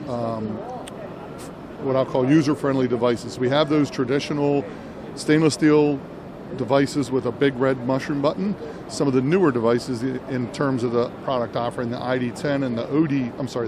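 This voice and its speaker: A man giving unscripted product demo commentary as an interviewer, explaining and rephrasing as he goes. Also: medium pitch. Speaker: interviewer at a trade show. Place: indoor trade show booth. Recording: noisy trade show floor.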